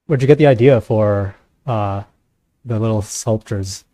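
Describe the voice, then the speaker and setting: A man's voice: on the low side, measured pace, dark tone, no room sound. A man hosting a casual live panel show, sounding somewhat tired. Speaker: host.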